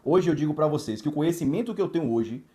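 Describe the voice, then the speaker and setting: A man's voice: fairly high pitch, expressive, rapid-fire, warm tone. A man, a watch distributor telling his life story in an emphatic, motivational way, repeating words in lists and adding frequent tag questions. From Salvador, Bahia, Brazil.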